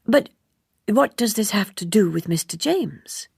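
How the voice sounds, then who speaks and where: A woman's voice: medium pitch, expressive, measured pace, gravelly, darkish tone, breathy edge, no echo, clean studio sound, pitched above the performer's own voice, exaggerated voice. A woman reading an audiobook, voicing a composed, guarded young woman who is formal, restrained and politely challenging. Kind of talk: audiobook character dialogue.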